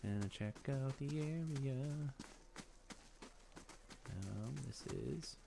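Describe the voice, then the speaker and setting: A man's voice: medium pitch, animated, very slow, vocal fry. A man hosting a let's play, giving casual commentary on a game as he plays.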